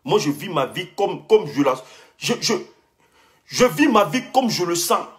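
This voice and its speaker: A young man vents on a phone live stream, talking straight at a rival and doubling back on his own phrases. His voice sits a bit high, and his pitch leaps and drops constantly as he gets heated. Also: sing-song, measured pace. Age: young adult. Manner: heated, repeats himself, speaks straight at a rival. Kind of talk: casual live-stream rant.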